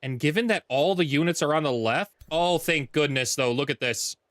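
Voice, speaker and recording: A man with a deep voice streaming a strategy game from home, chatting casually, thinking aloud and correcting himself as he plays. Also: normal speed, fairly bright tone, dry recording. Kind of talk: casual gameplay livestream chat. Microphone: desk mic with noise gate.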